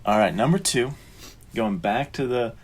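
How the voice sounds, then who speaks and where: A man's voice: mid-range voice, moderate pace. A man co-hosting a podcast, talking informally about books and explaining his thoughts in a casual chat. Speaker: podcast co-host.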